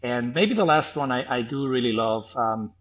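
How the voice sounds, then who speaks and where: A man in his thirties with a Swiss accent, softened by a faint New Zealand trace, reflects slowly on his path. He halts often, restarts his sentences and fills the gaps with ums. His voice sits at a middling pitch. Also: expressive. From Switzerland. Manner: thoughtful, hesitant, many restarts and "um"s. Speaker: podcast guest, former health tech startup founder. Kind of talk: reflective interview answers.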